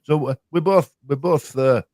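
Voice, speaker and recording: A man's voice: on the high side, dark tone, no echo, clean studio sound. An older man from West Yorkshire with a West Yorkshire accent, easygoing and chatting on a livestream with a friend. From West Yorkshire, near Leeds. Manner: easygoing, down-to-earth, quick to agree. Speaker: livestream co-host. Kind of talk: casual livestream chat.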